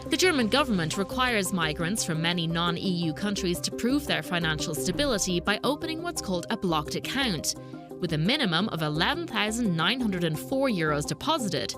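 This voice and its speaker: Female news narrator, measured scripted read, music bed underneath. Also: lowish voice, lively intonation. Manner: measured, explanatory. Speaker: reporter and narrator.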